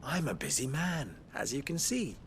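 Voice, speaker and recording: A man's voice: mid-range voice, sing-song, normal speed, a little hiss or hum. A man playing a cocky, sly young merchant in a video game, with a smug, taunting and theatrical delivery. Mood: mocking. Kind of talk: video game cutscene acting.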